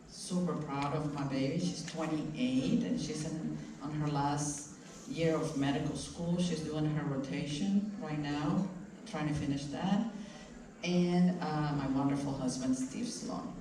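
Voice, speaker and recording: A woman in her forties from Venezuela, introducing herself on a panel in a chatty, rambling way. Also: low-pitched. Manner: chatty, rambling, self-deprecating. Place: convention hall. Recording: echoey hall from far off, faint noise.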